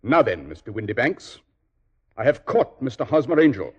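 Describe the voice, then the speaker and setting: Stern, theatrical master detective, swooping delivery. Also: male voice, medium pitch, big pitch swings, breathy edge. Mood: stern, scornful. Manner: precise, deliberate, theatrical, morally indignant. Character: brilliant, stern, self-assured male English detective. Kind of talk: 1940s radio drama acting. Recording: dull, muffled 1940s radio recording.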